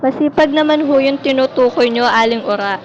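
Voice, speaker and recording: A respectful neighbour woman played by an actress in a radio drama, with a fairly high voice. The sound is dull, with faint noise. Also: fairly quick, lightly acted. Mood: earnest. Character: respectful female neighbour defending an absent man. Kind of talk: Filipino radio drama acting.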